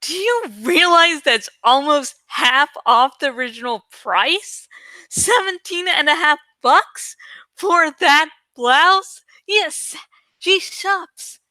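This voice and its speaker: A brash teenage girl in a storybook, acted out by a woman reader. Her voice is high and bright, close and dry. She boasts with crowing emphasis. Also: slow, no echo, exaggerated voice. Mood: triumphant.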